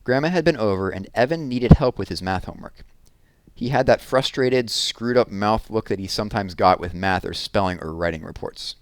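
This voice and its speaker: A man narrating a children's book aloud with expressive storytelling. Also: fairly low pitch, a little fast, no room sound.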